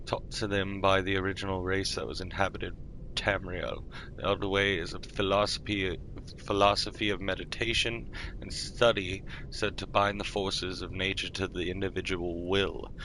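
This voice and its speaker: Deep male voice reading fantasy lore in character, formal n measured, bit of noise behind. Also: bright, forceful tone, poor audio. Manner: measured, formal phrasing with polite self-corrections. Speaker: live roleplay streamer.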